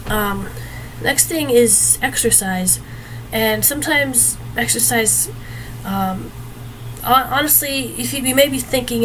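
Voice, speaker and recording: A woman's voice: on the high side, low-quality recording. A young adult woman vlogging casual advice, earnest and rambling with many fillers and restarts, her voice slightly off from being a little sick. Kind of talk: casual advice talk to camera. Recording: noticeable background noise.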